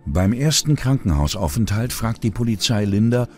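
A man narrates a true-crime documentary in a low voice. His delivery is dramatic and suspenseful, with a lively swing in pitch, over faint music. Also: normal speed.

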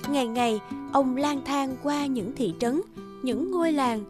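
A woman's voice: high voice, a little slow, music in the background. A woman narrator reading a scripted fairy tale for a cartoon.

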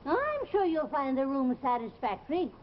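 Gabby old ex-showbiz landlady, really animated, folksy and dramatic, muffled old tv sound. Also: high-pitched. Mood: welcoming, flustered. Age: older. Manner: folksy, gossipy, theatrical, drawn-out exclamations. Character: chatty old landlady, former stage fortune teller. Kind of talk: 1950s television drama acting. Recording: dull, noisy old TV soundtrack.

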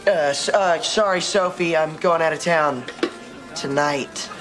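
A man's voice: a little high, unhurried, close to an everyday voice. A young man playing an awkward goofy teen boy. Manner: awkward, stammering. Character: awkward teenage boy, goofy best friend. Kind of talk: sitcom acting. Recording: music and studio audience behind.

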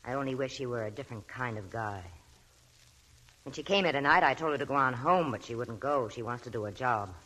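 A knowing nightclub owner. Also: male voice, medium pitch, brisk, bright, forceful tone. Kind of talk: 1940s radio drama acting.

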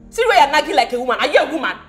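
A woman playing a quick, mocking wife who fires back at her husband. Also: fairly high pitch, very animated, fairly bright tone. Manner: mocking, retorts fast. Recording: roomy echo, faint music.

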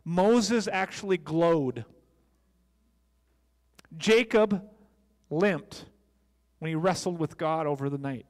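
A man's voice: fairly high pitch, slow pace, long pauses, a little mellow. A middle-aged American man preaching a sermon as a pastor, speaking into a handheld microphone on a church stage. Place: church stage, large indoor room. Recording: long echoey hall reverb.

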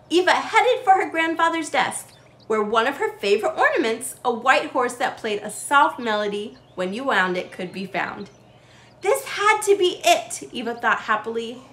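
A young woman narrates a children's picture book with very animated intonation. Her voice sits fairly high. The sound is roomy, with faint noise behind her. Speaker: children's storytime host. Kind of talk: expressive picture-book read-aloud.

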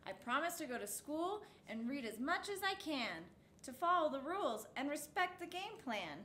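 Bright, high-voiced woman reading a storybook. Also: big pitch swings, normal speed. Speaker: storytime host reading a picture book. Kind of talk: read-aloud children's storytime. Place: indoor set with backdrop, seated. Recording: roomy echo, faint background noise.

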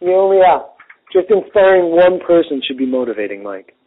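American dude, husky and a bit high, easygoing agreeable chat, muffled lo-fi call. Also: male voice, sing-song, a little fast. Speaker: podcast co-host. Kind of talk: casual phone chat between friends. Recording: muffled lo-fi phone-call audio.

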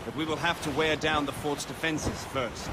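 An actor playing a grave, courteous colonial colonel in a video game, speaking formally and with measured wisdom. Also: male voice, fairly high pitch, fairly even intonation, lightly acted. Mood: measured advice. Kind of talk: video game cutscene acting. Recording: outdoor ship ambience and noise behind.

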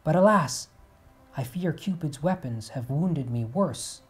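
A storyteller voicing a proud young male god of light who pleads and shouts after the one he loves. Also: mid-range voice, lively intonation, measured pace, soft background music, natural voice. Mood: mournful.

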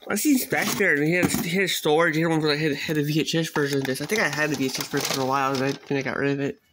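Man, fairly high pitch, casual home-video chat, halting, repetitive, clear background noise, handheld phone indoors. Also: fairly even intonation. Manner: halting, repeats himself. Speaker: cassette collector showing a collection. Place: small bedroom, at a closet, indoors. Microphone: handheld phone camera, speaker behind it. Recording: noisy handheld home recording, clicks.

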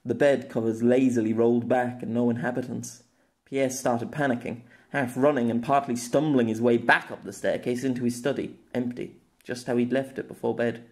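A man narrating his own story as an audiobook, in a steady, measured voice. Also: medium pitch. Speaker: author and narrator. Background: faint music.

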